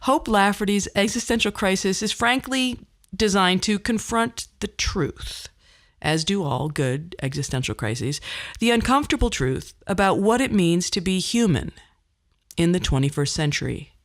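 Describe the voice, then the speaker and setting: Middle aged woman doing a scripted podcast intro, wry, deliberate phrasing, medium pitch. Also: expressive. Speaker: podcast host.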